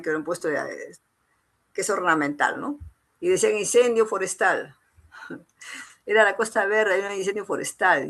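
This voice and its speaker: A woman's voice: on the low side, fairly bright tone, breathy edge. A woman with a Peruvian accent giving an informal lecture as an instructor, repeating phrases for emphasis and adding short tag questions. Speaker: engineer giving a training lecture.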